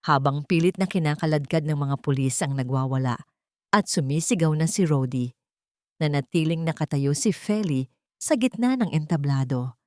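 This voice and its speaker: A woman reading a dramatic story as narrator, in a measured, solemn way. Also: low voice, a little mellow, dry recording, studio quality.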